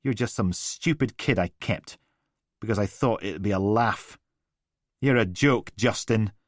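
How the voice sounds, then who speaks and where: Guy doing a drunk nasty dad, low growly menace then big yelling, dry close sound. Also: measured pace, dry recording, close to an everyday voice. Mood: cruel. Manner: slurring menace rising to bellowing rage. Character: drunken brutal middle-aged man, cruel and volatile.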